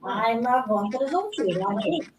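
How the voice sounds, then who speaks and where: High-voiced woman in broken English. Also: lively intonation, a little slow. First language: not English. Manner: broken English, emphatic and insistent. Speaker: natural healing practitioner. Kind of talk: casual podcast conversation. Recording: noisy recording.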